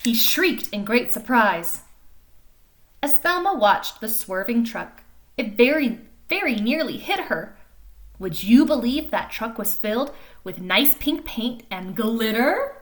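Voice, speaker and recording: A woman reading a picture book aloud to young children in an animated way, pausing to explain and ask questions like a teacher. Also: fairly high pitch. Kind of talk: expressive storybook read-aloud with chatty explanations to children.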